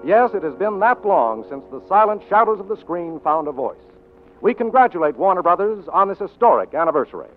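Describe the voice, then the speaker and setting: A man's voice: sits high, sing-song, brisk. An American man announcing and reading sponsor copy in a formal, emphatic 1940s radio style. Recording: muffled 1940s radio broadcast with faint music.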